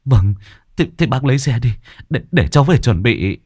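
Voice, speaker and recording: A man's voice: medium pitch, expressive, moderate pace, darkish tone, breathy edge, no echo, clean studio sound, pitched above the performer's own voice. A man playing a flustered, devoted young village husband who stammers and pleads in an audio story. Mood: frantic pleading. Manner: stammering, repeating words in panic.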